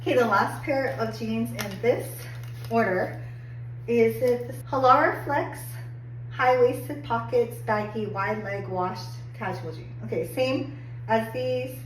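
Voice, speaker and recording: A woman getting over a cold and a little hoarse, chatting upbeat through a clothing try-on review with lots of "like"s. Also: a little high, takes it slowly. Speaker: fashion haul vlogger. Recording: echoey room, distant mic, faint hum.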